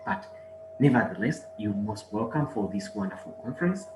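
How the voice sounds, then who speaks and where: Man, energetic motivational speaker, low voice, roomy echo. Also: moderate pace. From Uganda. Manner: energetic, exhorts the audience with repeated calls. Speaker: guest speaker, online marketer and trader. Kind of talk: motivational conference presentation. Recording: echoey room with music behind.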